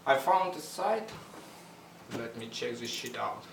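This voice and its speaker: A young man with a Russian accent, vlogging in casual halting English, blunt and swearing often. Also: medium pitch, very animated. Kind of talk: casual rambling vlog. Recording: echoey room, mic at a distance, faint hum.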